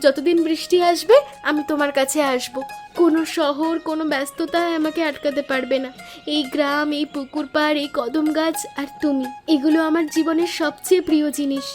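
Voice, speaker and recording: A woman's voice: sits high, steady tone, brisk, lightly acted. A woman playing an affectionate, eager young niece in a cartoon story, speaking in an earnest, sentimental way. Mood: devoted. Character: affectionate, eager young niece visiting her village aunt. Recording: music under the voice.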